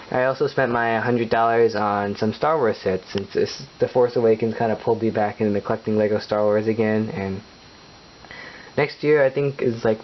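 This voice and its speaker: Chatty teenage guy. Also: fairly low pitch. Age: late teens. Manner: chatty and rambling, many fillers and restarts, chuckles often. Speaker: vlogger. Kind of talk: casual rambling vlog chat. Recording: dull lo-fi recording with faint hiss.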